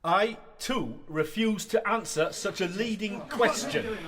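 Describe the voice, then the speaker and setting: A man's voice: high-pitched, takes it slowly, crowd noise. An actor playing a loyal, nervous young male student in a radio play, polite, earnest and a little hesitant. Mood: nervous resolve. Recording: echoey hall with long reverb.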